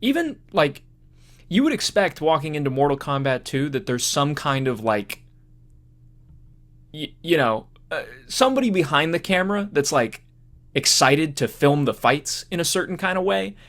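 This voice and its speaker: A man co-hosting a casual movie discussion podcast, talking informally and thinking out loud in a halting way. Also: on the high side, big pitch swings. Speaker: podcast co-host.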